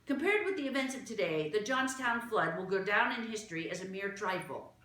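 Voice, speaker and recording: A woman's voice: medium pitch, animated, brisk, fairly bright tone, pitched above the performer's own voice, natural voice. A woman voicing a bossy, dramatic young boy who speaks in a pompous, worked-up way. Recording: roomy echo.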